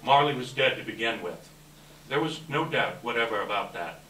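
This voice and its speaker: A man's voice: medium pitch, lively intonation, moderate pace, sharp tone, soft background music. A man giving a dramatic live reading of a classic story to a gathering. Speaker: event presenter and story reader. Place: library event room before an audience. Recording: echoey room, distant mic, faint noise.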